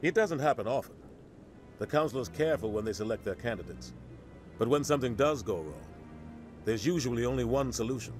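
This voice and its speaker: An actor voicing a seasoned, pragmatic military officer in a video game, explaining with measured authority. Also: male voice, medium pitch, animated, faint music behind, lightly acted. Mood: grave, measured. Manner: matter-of-fact, authoritative explaining.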